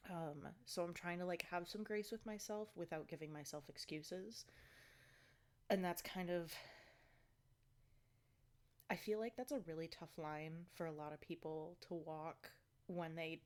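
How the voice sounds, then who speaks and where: Low-voiced woman, stuffy and breathless. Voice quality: congested, stuffy nose. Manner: chatty, rambling, many ums and likes. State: slightly out of breath. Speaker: fitness vlogger. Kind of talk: casual video diary.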